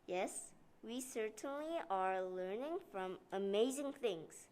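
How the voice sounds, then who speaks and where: An adult doing a slow, earnest young schoolgirl. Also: mid-range voice, lively intonation, darkish tone, pitched above the performer's own voice.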